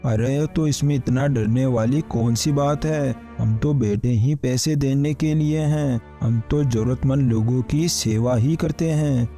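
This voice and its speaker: Sly moneylender male actor: falsely generous, unctuous, mid-pitched and mellow, for an animated village tale. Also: measured pace, few pauses, faint music behind, natural voice. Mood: oily, self-righteous. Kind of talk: Hindi animated bedtime story dialogue.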